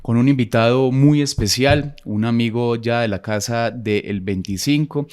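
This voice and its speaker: A man's voice: medium pitch, a little slow, darkish tone, clean tone. A man, hosting a video podcast interview with formal, welcoming, long-winded questions. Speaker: podcast host.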